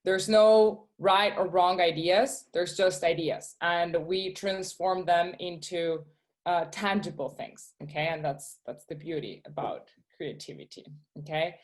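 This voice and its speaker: A woman's voice: lowish voice, steady tone, unhurried. Eager woman on a video call. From Venezuela. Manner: eager, often checks in with "okay?". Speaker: guest speaker, shoe designer. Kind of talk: presentation to schoolchildren.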